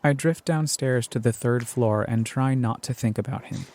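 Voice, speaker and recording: A man's voice: medium pitch, normal speed, darkish tone. A male podcast host giving a reflective, earnest scripted narration.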